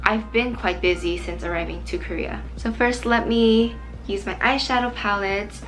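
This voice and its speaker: A young woman in her twenties chatting to camera while doing her makeup, her voice a little strained and hoarse from overuse. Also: mid-range voice, normal speed, soft background music, poor audio. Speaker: vlogger. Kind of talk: casual makeup chat to camera. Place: small bright room indoors.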